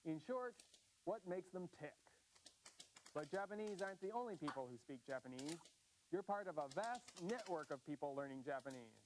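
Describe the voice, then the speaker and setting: An American man hosting a language course, presenting in an upbeat scripted way with slow, careful enunciation. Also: fairly high pitch, animated, slight background noise, rough recording. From United States.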